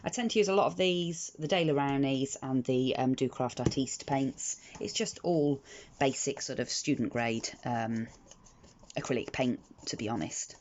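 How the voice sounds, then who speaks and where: A British woman sounding croaky in the morning, chatting her way through a craft tutorial with frequent ums and restarts. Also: rumbling low, normal speed, a little mellow. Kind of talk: casual step-by-step craft tutorial. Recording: slightly dull lo-fi audio with faint noise.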